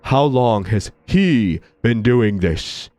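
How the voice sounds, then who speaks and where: A man reading the lines of a young cat-person game hero in a deep, soft, hesitant voice. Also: expressive, slow pace.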